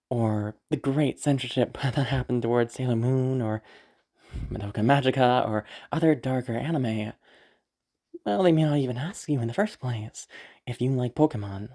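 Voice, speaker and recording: A woman with a low, deep voice, speaking casually in an afterword. She is a little sick, her throat is giving out, and she rambles with frequent short laughs. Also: dark tone. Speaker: audio roleplay creator. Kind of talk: casual spoken afterword, explaining.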